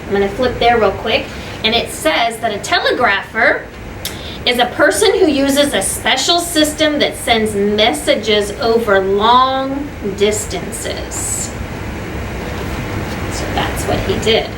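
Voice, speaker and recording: A woman's voice: fairly high pitch, expressive, slow pace. A woman teacher reading a story aloud to children, stopping for simple explanations, repeating points and putting questions to the listeners. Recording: echoey room, distant mic, clear background noise.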